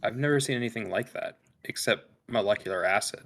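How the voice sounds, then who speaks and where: A man playing a cautious, clinical spaceship science officer in a sci-fi script read, with technical, measured wording. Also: lowish voice, fairly bright tone. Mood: analytical.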